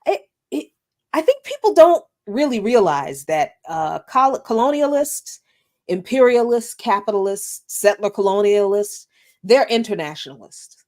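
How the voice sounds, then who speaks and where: Lively woman show host. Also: medium pitch, unhurried. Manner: lively, laughs easily, direct with listeners. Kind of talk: casual interview talk.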